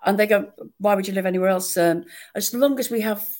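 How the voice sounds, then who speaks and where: A woman, an actress chatting in an interview and telling lively, self-mocking stories. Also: medium pitch, fast talker. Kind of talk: conversational interview.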